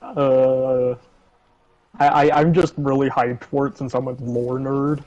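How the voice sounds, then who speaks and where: A man with a medium-pitched voice comes through slightly dull voice chat audio. He talks casually while gaming. He is blunt and teasing, and he curses often. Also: little pitch movement, slow, warm tone. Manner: blunt, foul-mouthed, teasing. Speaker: gamer in a co-op session. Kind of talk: casual chat while playing an online game.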